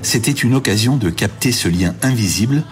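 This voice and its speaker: A man narrating a documentary in a measured, scripted voice-over. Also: medium pitch, lively intonation, music in the background. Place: voice-over studio.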